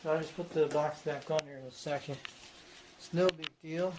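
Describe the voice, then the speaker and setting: Male hobbyist presenter, slightly high voice, casual rambling repetitive show-and-tell delivery, faint background noise. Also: steady tone, moderate pace.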